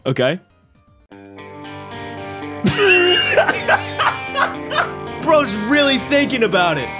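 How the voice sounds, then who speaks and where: A young man in his twenties hosting a stream, reacting to clips with excited, laughing commentary from a small home room. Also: very high voice, extremely slow, over loud music. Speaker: streamer host. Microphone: boom mic on arm.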